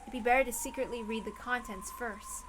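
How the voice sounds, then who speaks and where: A woman's voice: on the high side, steady tone, a little fast, noisy background, lightly acted. A woman voicing a tense, anxious and secretive mother, hesitant and self-questioning, in a visual novel read aloud. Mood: anxious, calculating. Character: anxious, secretive adult mother, quick to scold.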